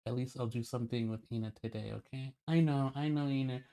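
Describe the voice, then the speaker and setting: A man's voice: medium pitch, fast talker, gravelly, warm tone. A man talking casually and privately in a placating, apologetic manner. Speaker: streamer.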